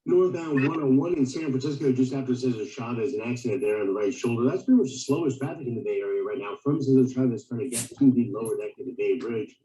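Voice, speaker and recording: A man's voice: mid-range voice, fast talker, few pauses, warm tone, echoey room, muffled recording. A man reading a traffic report as a radio reporter, heard through a distant, noisy playback.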